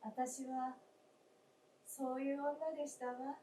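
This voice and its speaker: A gentle young wife returned as a snow figure, played by an actress, speaks very slowly and politely. Her voice is mellow and wistful, in old-fashioned feminine phrasing, heard in a roomy space during a live radio play. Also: high-pitched, level tone. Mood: gentle confession. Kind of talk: live staged radio drama acting. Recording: roomy stage, distant mic, faint noise.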